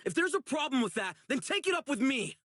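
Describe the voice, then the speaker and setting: A man's voice: very high voice, sing-song, brisk, fairly bright tone, dry recording, clean studio sound, full character voice. An actor playing an earnest, righteous young male public security officer in a video game, speaking emphatically. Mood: urgent, resolute. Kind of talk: video game character voice acting.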